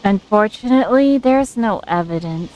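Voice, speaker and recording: Female game streamer, smooth clear mid-pitched voice, casual halting live commentary. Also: animated, highs cut off. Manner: halting, thinking aloud.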